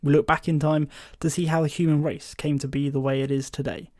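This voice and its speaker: A man's voice: a little high, little pitch movement, brisk, darkish tone, no echo, clean studio sound, lightly acted. A man playing an inquisitive history podcast host from a post-apocalyptic future, in a scripted interview style. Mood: measured, presenting.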